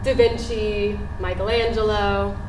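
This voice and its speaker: A woman's voice: medium pitch, fairly even intonation, a little slow, darkish tone, lightly acted. An actress on stage playing an earnest, romantic woman, with theatrical, projected delivery. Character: Isabella, earnest, romantic woman recalling her youth. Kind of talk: live stage play acting. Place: indoor theatre stage, far from microphone. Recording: distant, echoey theatre stage recording with faint noise.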